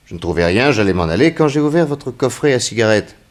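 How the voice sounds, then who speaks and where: An actor playing a stern, shrewd investigator who questions insistently and sardonically in a 1960s French radio crime drama. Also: male voice, mid-range voice, big pitch swings, quick pace, close to an everyday voice. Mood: grave accusation. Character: stern, shrewd male investigator grilling a young suspect.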